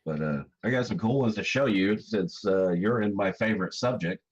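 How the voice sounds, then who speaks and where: A man with a very deep voice, hoarse and fading from a cold. He speaks in a low-key, matter-of-fact way. His audio comes through a video call and sounds dull and lo-fi. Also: normal speed. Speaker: guest collector. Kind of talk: casual chat.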